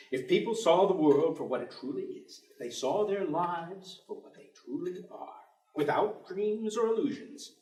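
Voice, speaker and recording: A man's voice: on the high side, lightly acted. A man on stage playing a bitter, articulate atheist professor who longs for death, in a theatrical declamatory delivery. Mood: cold conviction. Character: bleak, bitter, intellectual atheist male professor longing for death. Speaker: stage actor in a play. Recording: echoey theatre stage, distant microphone.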